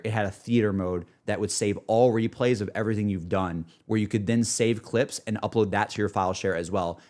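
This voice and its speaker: A man's voice: fairly low pitch, a little mellow. Enthusiastic young man rambling on stream. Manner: enthusiastic, self-deprecating. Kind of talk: casual livestream chat, rambling gaming talk.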